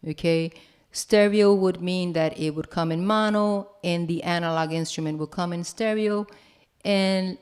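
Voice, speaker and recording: Female tutorial host, slightly low and very clear voice, informal and chatty, faint music underneath. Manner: informal, chatty, addresses viewers as "you guys". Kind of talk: casual software tutorial to viewers.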